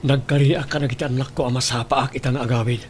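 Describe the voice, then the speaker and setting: An earnest male voice of an actor plays a secretive, cheating husband in a radio-style drama, placating as he talks. Clear background noise runs through the recording. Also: mid-range voice, fairly even intonation, fast talker, lightly acted. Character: unfaithful husband and father, secretive, placating his mistress. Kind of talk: Ilocano audio drama acting.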